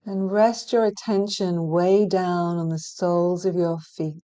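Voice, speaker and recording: A woman leading a guided relaxation meditation in a soothing, gentle and measured manner, leaving long pauses between phrases. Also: lowish voice, fairly even intonation, clear, resonant tone. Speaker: hypnotherapy practitioner guiding meditation. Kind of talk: guided relaxation meditation, hypnotic scripted narration.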